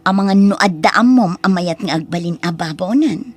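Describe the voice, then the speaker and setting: A woman with an Ilocano accent playing a sharp-tongued, headstrong young wife in an audio drama. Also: fairly low pitch, normal speed, slightly rough. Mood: complaining. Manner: expressive, complaining.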